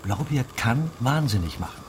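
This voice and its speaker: An actor playing a defiant, resentful village official in a German radio play, speaking bluntly and with foreboding. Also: male voice, medium pitch, very animated, moderate pace, a little hiss or hum, lightly acted. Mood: ominous warning.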